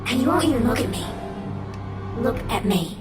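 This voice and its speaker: A vengeful ghost woman played by an actress in a horror game, her high voice swinging widely. She accuses and pleads with anguish, echoing over loud music. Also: animated, normal speed, close to an everyday voice. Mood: accusing.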